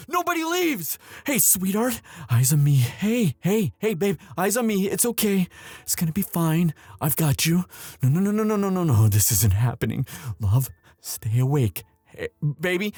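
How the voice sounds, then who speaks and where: A man's voice: high voice, dry recording. A man acting an emotional young prince, wide-swinging voice. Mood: panicked, pleading. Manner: intimate, halting, emotional. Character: young prince, tender, devoted, anxious lover. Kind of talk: romantic audio roleplay acting.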